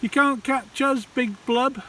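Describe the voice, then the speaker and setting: Man putting on high, excitable little tadpoles. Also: takes it slowly, exaggerated voice. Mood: taunting. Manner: sing-song, exclamatory. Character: excitable young tadpoles, chanting and calling out. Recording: distant mic, hissy background noise.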